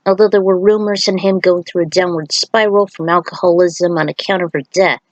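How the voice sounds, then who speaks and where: A woman's voice: mid-range voice, no echo, low-quality recording. A woman reading a fan-fiction story aloud as an amateur narrator, occasionally stumbling and repeating words.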